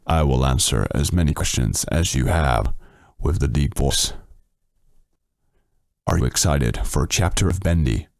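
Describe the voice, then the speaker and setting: A man puts on a velvety late-night radio host, dropping his voice very low and drawing out every word. The sound is dry and close, with no room around it. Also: gravelly, a little mellow, no echo, full character voice. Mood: sultry. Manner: slow, low, seductive delivery. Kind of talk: joking put-on voice for a livestream. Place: home at night.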